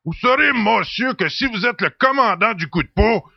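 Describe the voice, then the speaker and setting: Un vieux pirate électronique, éraillé et grincheux. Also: male voice, high-pitched, lively intonation, moderate pace, no echo, muffled recording, heavily acted. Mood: indignant. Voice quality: raspy, creaky old voice. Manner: grumbling, grandiloquent, teasing. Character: cranky old-pirate ship's computer with a hologram body, theatrical. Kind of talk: audio drama acting.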